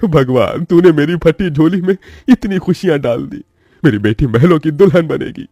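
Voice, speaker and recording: Man acting a poor, husky, pleading father. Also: on the high side, animated, normal speed, mellow, no echo, clean studio sound. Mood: tearful joy. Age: middle-aged. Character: poor, anxious, humble labourer father of daughters. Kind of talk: dramatised Hindi moral cartoon story.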